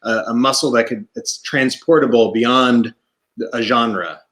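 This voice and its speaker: Give me a man interviewing for a comedy theater. He rambles and chats, joking often, at a medium pitch, over a video call. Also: unhurried, a bit bright. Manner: chatty, rambling, keeps making jokes. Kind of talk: casual interview.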